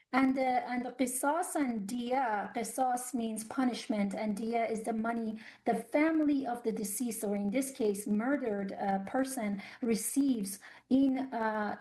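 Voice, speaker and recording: A woman from Iran speaks as a guest on a news interview, earnest and halting, stopping often to restart her phrasing. Her voice sits a little on the higher side. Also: a little mellow, low-quality recording. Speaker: guest commentator on human rights.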